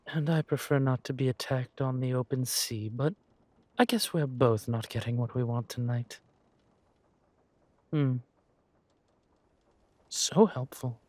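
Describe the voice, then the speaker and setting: A man as a slow, husky, suave villain lord. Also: medium pitch, darkish tone, no echo, close to an everyday voice. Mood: mocking menace. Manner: mock-polite, menacing, drawn-out delivery. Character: suave, ruthless villain lord, doting on his lover. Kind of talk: villain roleplay audio drama.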